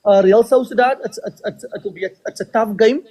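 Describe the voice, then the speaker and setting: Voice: medium pitch, a little fast, dark tone. A podcast co-host arguing a sports point firmly. The delivery is argumentative and direct, with repeated words as each thought gets going. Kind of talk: casual sports opinion.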